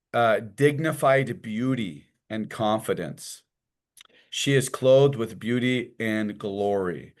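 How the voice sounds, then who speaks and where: A man with a fairly low voice leads an informal church lesson. His manner is folksy and conversational, and he drifts into short acted-out quotes and vivid examples to make his points. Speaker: church teacher. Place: church classroom.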